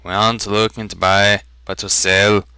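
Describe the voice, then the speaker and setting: A man's voice: fairly low pitch, flat delivery, moderate pace, gravelly, sharp tone, smooth, no echo. A man voicing a reserved, firm older relative in a fantasy audiobook reading, speaking in a guarded way. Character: reserved, firm farmer uncle, quiet-spoken man.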